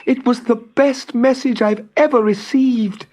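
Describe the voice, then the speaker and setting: A man voicing a small, gentle female nightingale in a light, polite storybook manner. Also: very high-pitched, steady tone, unhurried, slight background noise, pitched above the performer's own voice. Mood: joyful, grateful. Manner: light, lilting, sweetly polite.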